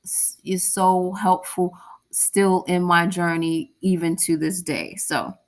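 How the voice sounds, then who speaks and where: Woman host, gravelly tone, enthusiastic and gushing, video-call sound. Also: fairly low pitch, expressive, takes it slowly. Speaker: podcast host. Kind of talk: casual interview chat.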